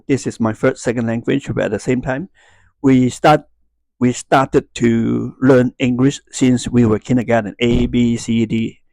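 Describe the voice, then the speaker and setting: Man, fifties, Hong Kong Cantonese accent, native Cantonese speaker, mid-pitched, dry close sound, halting unscripted talk. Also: warm tone, no echo. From Hong Kong and Macau. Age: 50s. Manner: halting, searching for words. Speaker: YouTuber. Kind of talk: casual talk to viewers, unscripted.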